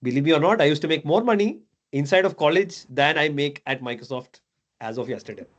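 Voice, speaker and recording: A man's voice: fairly high pitch, brisk, highs cut off. A man in his thirties, raised in Dubai, talking casually as a mentor, chatty, with many fillers and tag questions. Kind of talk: casual video call chat.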